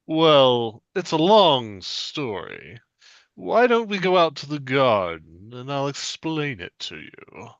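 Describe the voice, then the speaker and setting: A man playing a stately, dry-witted aristocrat in a comedy sketch, speaking in a slow, pompous, measured way. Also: medium pitch, sing-song, dry recording, highs cut off.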